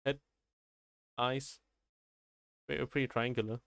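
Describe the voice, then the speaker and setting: A man's voice: medium pitch, steady tone, a little slow, vocal fry, a bit bright, dry recording, clean studio sound. A man livestreaming a game, chatting casually and informally, often thinking aloud and repeating his phrases. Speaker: game streamer. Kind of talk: casual livestream gameplay chat.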